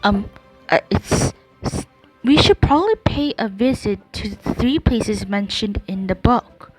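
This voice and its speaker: A woman's voice: medium pitch, lively intonation, a little slow, soft background music, poor audio. A woman giving casual commentary as she plays a video game. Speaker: gamer.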